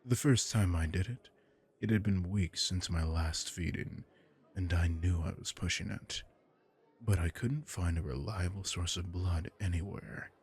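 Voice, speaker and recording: A man voicing a suave aristocratic male vampire lord in an ASMR roleplay, smooth and teasing. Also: deep voice, a little slow, a little mellow, exaggerated voice. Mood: smooth menace.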